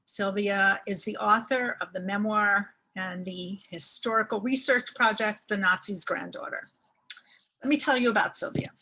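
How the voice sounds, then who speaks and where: A woman host formally introducing a guest speaker on an online lecture, somewhat halting with fillers. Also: medium pitch, pushed and bright, poor audio.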